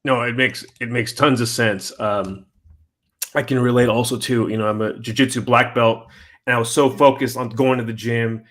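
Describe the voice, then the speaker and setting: A man hosting an interview, talking casually in a rambling, halting way with many restarts. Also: mid-range voice, steady tone, a bit bright. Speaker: podcast host.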